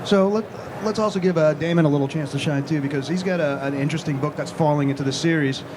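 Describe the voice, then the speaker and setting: A man's voice: a little high, fast talker, noisy background. A man speaking on a conference panel stage, hesitant and full of fillers, handing questions to fellow authors. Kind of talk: panel discussion.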